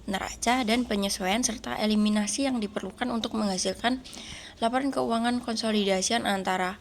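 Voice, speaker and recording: A woman's voice: on the high side, fairly even intonation, a little fast. Young woman explaining over faint noise. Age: young adult. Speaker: student presenter. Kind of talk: explanatory presentation.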